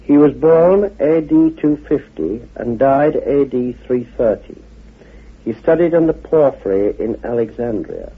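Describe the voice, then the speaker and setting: A man giving a measured expository talk as a guest speaker, deliberate and pausing between short phrases. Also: medium pitch, lively intonation, breathy edge. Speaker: guest speaker on occult teachings. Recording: narrow, tinny old radio recording.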